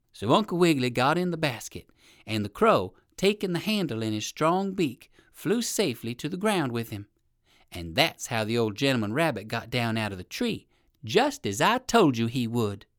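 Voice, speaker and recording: Lady reading a kids story, low voice that swings all over, really expressive, super dry sound. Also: dry recording. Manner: expressive, emphatic, addressing young listeners. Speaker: children's story narrator. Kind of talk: animated storybook narration.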